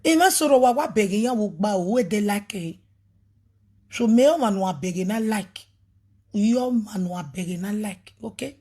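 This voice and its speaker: A woman with a Nigerian accent, talking emphatically as a prophetess on a live video, swinging between loud outbursts and a lowered voice. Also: expressive, normal speed. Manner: emphatic, switches between pidgin and a local language.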